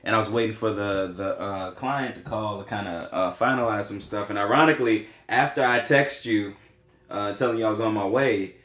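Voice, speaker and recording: A man, in his thirties, speaks casually on a podcast. His voice sits on the low side, and he rambles with frequent fillers and restarts. The room around him is echoey. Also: normal speed, a bit bright. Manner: rambling, hesitant, lots of fillers. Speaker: podcast co-host. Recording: roomy echo.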